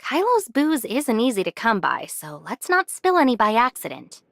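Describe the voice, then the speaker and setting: A tactful young woman from an anime game, with a fairly high voice. Her delivery is expressive and guarded, swinging widely in pitch. The recording is dry and close. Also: normal speed, vocal fry, dry recording, heavily acted. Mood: calm, placating. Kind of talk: English anime game voice acting.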